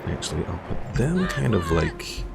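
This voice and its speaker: Low gravelly man streaming a game. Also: big pitch swings, normal speed. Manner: loose and rambling, full of "like" and "kinda". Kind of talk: casual live-stream commentary reading chat. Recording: game music playing under the voice.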